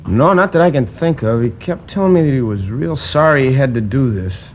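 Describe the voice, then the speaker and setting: Rambling, indignant actor as a store manager. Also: male voice, mid-range voice, lively intonation, fairly quick, slight background noise, close to an everyday voice. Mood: recounting. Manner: chatty, rambling, indignant with hesitations. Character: talkative, indignant male liquor store manager. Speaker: store manager, robbery victim. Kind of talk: 1950s radio crime drama acting. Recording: dull old radio transcription.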